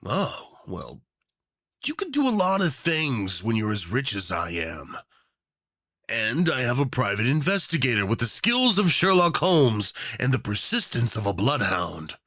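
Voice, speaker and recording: A man's voice: mid-range voice, wildly swooping pitch, unhurried, sharp tone, no echo, studio quality, heavily acted. Man playing a swooping, sugary, unhinged lovesick tyrant. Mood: smug, amused. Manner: theatrical, saccharine and teasing, with pet names. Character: doting, unhinged, rich tyrant, obsessively in love. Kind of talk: yandere ASMR roleplay acting.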